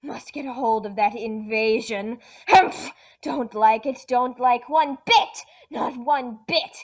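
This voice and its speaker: A woman's voice: a little high, slow pace. A woman doing a huffy, snorting old boar. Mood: suspicious. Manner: snorting, huffing, muttering to himself. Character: grumpy, suspicious male boar.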